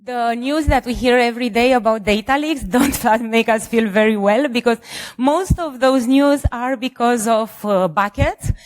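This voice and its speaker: A woman with a Romanian accent giving an informal conference presentation as a security engineer, in a somewhat halting delivery. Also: fairly high pitch. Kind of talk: conference presentation with light jokes.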